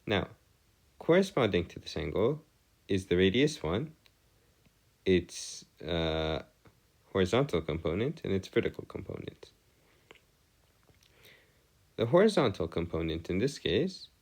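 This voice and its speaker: A man with a low voice teaches math at a slow pace. His intonation swings widely as he goes. He speaks in formal, measured phrases and pauses deliberately while explaining each step. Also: sing-song, darkish tone. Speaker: math tutor. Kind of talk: explanatory math lesson over worked diagrams.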